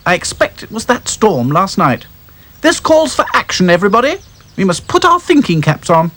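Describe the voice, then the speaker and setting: A man voicing a brisk, bossy rag doll girl who rallies her friends. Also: high-pitched, very animated, a bit bright, voice pushed up, full character voice.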